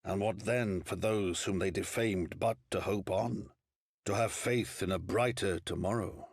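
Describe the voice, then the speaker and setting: An actor playing a solemn chronicler in a fantasy game, narrating in portentous, literary English with a husky voice. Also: male voice, low-pitched, a little slow, no echo, clean studio sound, exaggerated voice. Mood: solemn, wistful. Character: solemn chronicler recounting a war's history. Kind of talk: dramatic scripted fantasy narration.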